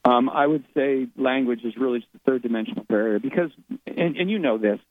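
A man's voice: medium pitch, fairly quick. A man who is a guest on a radio interview speaks reflectively and often repeats words while he searches for the next ones. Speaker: guest, author and medium. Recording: tinny phone line.

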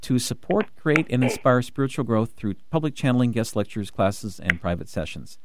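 A man hosting a radio talk show from the studio, talking in an excited rush with many restarts and fillers. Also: medium pitch, moderate pace, noisy background. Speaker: radio host.

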